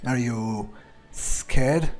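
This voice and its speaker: A man's voice: mid-range voice, extremely slow, a little mellow, soft background music. A man role-playing his character in a video game playthrough, thinking aloud in a musing, hesitant, sardonic way. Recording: faint background game ambience.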